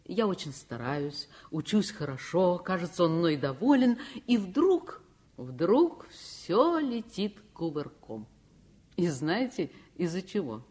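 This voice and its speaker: Animated, confiding girl narrator. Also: fairly low pitch, heavily acted. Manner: expressive, confiding. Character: enthusiastic girl narrator recounting her childhood. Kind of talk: dramatised storytelling in a Soviet radio play.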